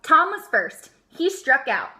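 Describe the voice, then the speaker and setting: Bright, high-pitched woman reading aloud to children. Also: takes it slowly. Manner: expressive, emphatic. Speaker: storybook reader. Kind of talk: animated children's read-aloud.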